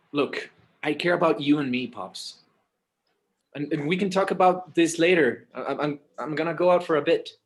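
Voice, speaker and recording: A man's voice: on the high side, normal speed, lightly acted, poor audio. A man acting a casual, hesitant adult son in a conversational screenplay table read. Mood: hesitant, placating.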